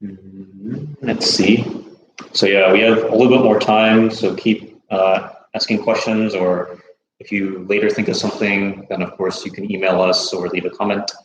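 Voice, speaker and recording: An American man hosting a casual livestream Q&A, relaxed and chatty, laughing easily. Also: on the low side, normal speed, reverberant, a little hiss or hum, rough recording. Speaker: host.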